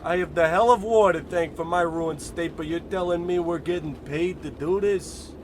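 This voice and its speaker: A man's voice: on the high side, normal speed, slight background noise, natural voice. A man playing a dim, forgetful war veteran goon in a confused, rambling way for an old-time radio comedy. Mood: dazed, forgetful.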